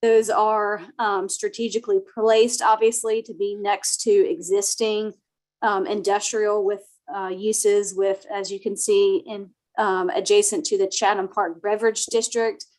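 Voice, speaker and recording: Soft-spoken woman with a flat delivery. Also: mid-range voice, a little slow. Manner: soft-spoken, many fillers. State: slightly sick. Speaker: project planner presenting to a town board. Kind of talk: formal presentation at a remote meeting. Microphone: computer mic on a video call.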